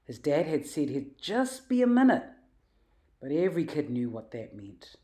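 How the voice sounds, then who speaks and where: A woman reading a children's story aloud in an animated, emphatic, expressive way. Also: sits low, normal speed. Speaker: storybook reader.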